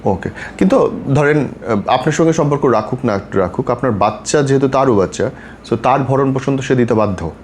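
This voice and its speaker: A man's voice: medium pitch, lively intonation, a little fast. A man with a Bangladeshi accent hosting a conversational interview, asking questions and giving practical advice. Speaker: podcast host interviewing a guest. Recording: faint background noise.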